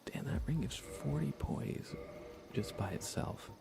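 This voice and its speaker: A man softly giving casual ASMR commentary as he livestreams a game, often slipping into a near-whisper. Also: mid-range voice, expressive, unhurried, vocal fry, background noise. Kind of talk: soft ASMR gaming commentary, casual chat.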